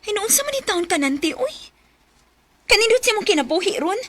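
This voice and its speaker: A bossy older sister, with a very high, bright voice that swings widely. She nags and scolds disapprovingly with a Cebuano Filipino accent, radio soap style. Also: very animated, normal speed, exaggerated voice. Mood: indignant. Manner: shrill, nagging. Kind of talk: Philippine radio drama acting.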